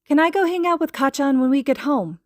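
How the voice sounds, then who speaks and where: A sweet little boy, put on by a woman narrator, pitched well up and chirpy. He sounds innocent, eager and earnest in a storybook tale. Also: fairly quick, no echo, clean studio sound, close to an everyday voice.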